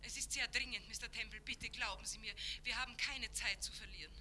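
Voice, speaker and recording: An actress playing an anxious countess pleading urgently and politely in a 1950s German radio play. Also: female voice, on the high side, a little fast, bright, forceful tone, a little hiss or hum. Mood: pleading, desperate. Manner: insistent, breathless urgency, polite.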